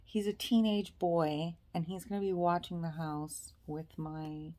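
A woman's voice: lowish voice, fairly even intonation, unhurried. A woman in her thirties chatting casually to her phone camera from bed, sometimes dropping to a whisper. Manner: chatty, slips into soft whispers. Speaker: vlogger. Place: bedroom, lying on a bed indoors. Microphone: phone camera at arm's length.

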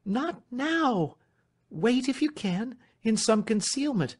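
A man voicing an earnest, agitated young lady in an audiobook, pleading with urgent, hushed entreaty. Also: extremely high pitch, expressive, slow pace, breathy edge, pitched above the performer's own voice, exaggerated voice.

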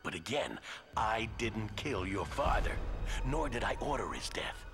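An actor playing a smug, corrupt mayor, a condescending and wordy video game villain. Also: male voice, lowish voice, very animated, unhurried. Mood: evasive, ominous. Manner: smooth-talking, condescending, wordy. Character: smug corrupt city mayor, scheming and evasive.

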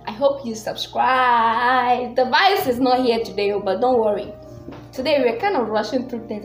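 Young woman, Ghanaian accent, easygoing rambling vlogger; roomy webcam sound. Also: on the high side. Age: young adult. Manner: chatty, giggly, loose and rambling. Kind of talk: casual vlog talk to camera. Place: small room indoors.

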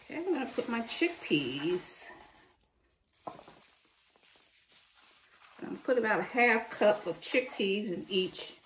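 Slow-talking woman in an echoey kitchen. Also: mid-range voice, sing-song, far from the mic, slight background noise. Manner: homey and chatty, talking to viewers as she works. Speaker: cooking channel host. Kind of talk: casual meal-prep chat while cooking. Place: home kitchen. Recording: echoey room, mic set back from her.

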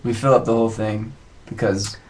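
A man's voice: fairly low pitch, level tone, a little fast, rough recording. Young guy chatting on a webcam stream in a home studio, laughs a lot, reads out comments. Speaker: co-host of a live stream. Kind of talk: casual live stream chat. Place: home music studio, indoors. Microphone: webcam mic.

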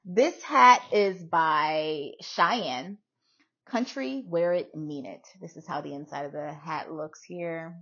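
A middle-aged woman with an American accent gives a casual, chatty try-on talk. Her voice sits on the low side with a husky edge, and she leans on phrases by repeating them. The home recording sounds slightly dull. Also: takes it slowly, a bit bright. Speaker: vlogger showing a hat collection. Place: small room indoors at home.

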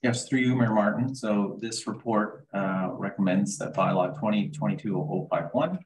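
A man's voice: lowish voice, normal speed, a little mellow, roomy sound, a little hiss or hum, low-quality recording. A Canadian man, a township official, presenting reports to council in a formal, procedural manner.

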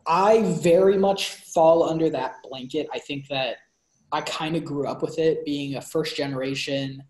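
A man's voice: a little high, normal speed. A young man co-hosting a book review over a video call, talking casually with many fillers and restarts. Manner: animated hand gestures. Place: bright room at home. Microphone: video call laptop. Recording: roomy echo.

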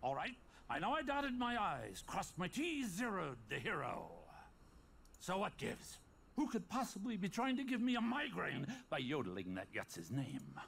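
A man voicing a sardonic, short-tempered underworld god in a video game, with gravelly, theatrical wisecracking patter. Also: high voice, huge pitch swings, moderate pace, a bit bright, slight background noise, full character voice. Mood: exasperated, sarcastic. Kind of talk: animated video game cutscene acting.

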